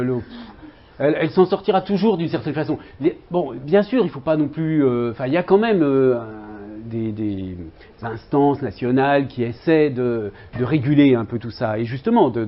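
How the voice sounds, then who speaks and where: Hesitant male lecturer, lo-fi. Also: mid-range voice. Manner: hesitant, many fillers and restarts. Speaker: university lecturer in linguistics. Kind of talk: seminar talk with students. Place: university lecture room.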